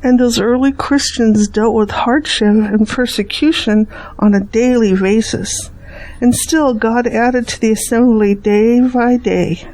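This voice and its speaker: A woman's voice: fairly high pitch, unhurried, a little mellow, close to an everyday voice, rough recording. An actress playing a devout, loving middle-aged mother in an audio drama. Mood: reverent.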